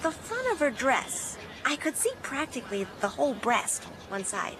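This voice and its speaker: An actress playing a candid, plain-spoken, slightly wistful American waitress in a radio drama. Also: female voice, high-pitched, sing-song, normal speed, background noise. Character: candid, chatty American drive-in waitress, witness to police.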